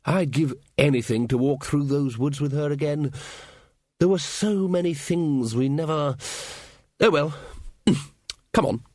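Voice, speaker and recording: A man voicing a blustering, nostalgic old male wizard in a storybook, with a theatrical, drawn-out delivery. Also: on the high side, darkish tone, no echo, clean studio sound. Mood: misty nostalgic. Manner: theatrical, drawn-out, wistful reminiscing.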